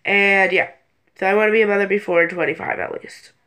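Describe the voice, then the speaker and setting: A woman's voice: medium pitch, steady tone, a little fast, bright, forceful tone, clear, resonant tone. A woman in her late teens with congested sinuses, chatting casually and hesitantly to camera as a vlogger, easily distracted. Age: late teens, almost 18. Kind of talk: casual rambling chat to camera. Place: small bedroom, indoors.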